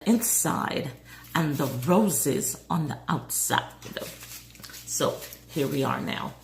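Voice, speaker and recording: A hoarse woman in a casual craft vlog, calmly talking through each step as she works. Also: deep voice, slow pace. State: hoarse, voice going. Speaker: craft vlogger. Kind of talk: casual DIY tutorial narration. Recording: roomy echo with faint noise.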